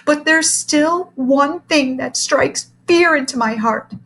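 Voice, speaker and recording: A woman voicing a timid, jittery male teacher who squeaks and stammers. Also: slow, fairly bright tone, heavily acted. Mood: earnest.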